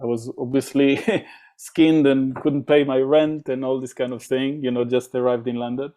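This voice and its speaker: A man's voice: medium pitch, fairly even intonation, normal speed, dark tone. A man from Israel, a drummer, telling stories in a casual interview, with many fillers and restarts. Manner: rambling, many fillers and restarts, acts out quoted voices.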